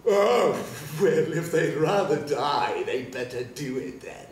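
A man playing a cold, irritable old miser in a stage period drama, curt and contemptuous with a gravelly edge. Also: on the high side, animated, slow. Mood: scornful, irritated. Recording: echoey stage, far off, faint noise.

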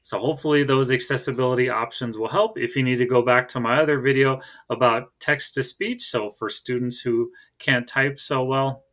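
A man's voice: mid-range voice, fairly even intonation, normal speed, hardly any pauses, fairly bright tone. A middle-aged American man giving a casual, conversational tech tutorial as a teacher, recorded on a laptop in a room at home. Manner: conversational, checks in with "right?".

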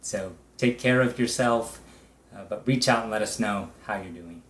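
A middle-aged man, a pastor, leading a prayer in a measured, reverent way, in short phrases with long pauses. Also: on the low side. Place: indoors, small room with bare wall. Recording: echoey room.

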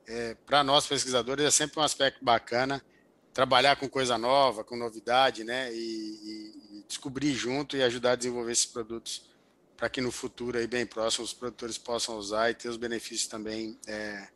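A man's voice: mid-range voice, level tone, normal speed, a bit bright. An older man, an agricultural researcher, explaining things in a remote interview over a headset, with many fillers and restarts. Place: home office indoors. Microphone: headset over video call.

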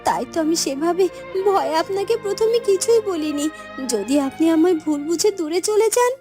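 A woman's voice: extremely high pitch, expressive, moderate pace. A woman voicing a timid, earnest young village maiden in love, speaking hesitantly and tenderly in a cartoon fairytale. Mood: earnest, confessing. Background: music.

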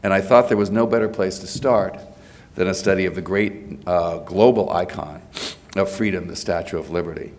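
A low-pitched man in his sixties, congested from a cold, delivers an academic address at a podium microphone. He speaks with frequent fillers and restarts. The sound carries the feel of a large hotel ballroom. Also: moderate pace, a little mellow. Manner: hesitant, many fillers and restarts. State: has a cold. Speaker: lecturer giving a presidential address. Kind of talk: academic lecture.

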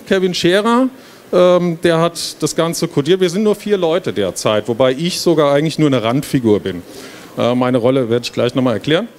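A man's voice: mid-range voice, darkish tone. A middle-aged man giving an informal, explanatory lecture to an audience, as a professor presenting his work. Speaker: professor of game design, presenter. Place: stage at an indoor book fair. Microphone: handheld microphone. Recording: clear background noise of fair hall. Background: trade fair hall ambience.

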